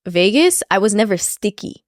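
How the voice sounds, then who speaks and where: A woman's voice: mid-range voice, sing-song, moderate pace, dry recording, studio quality. A woman co-hosting a podcast, sniffly from a cold, chatting casually with lots of likes and restarts. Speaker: podcast co-host. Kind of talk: casual chat.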